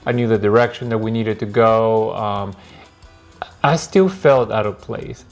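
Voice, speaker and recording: A man's voice: fairly low pitch, unhurried, soft background music. Hesitant man. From Peru. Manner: hesitant, many fillers and restarts. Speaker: podcast host. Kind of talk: casual solo podcast talk.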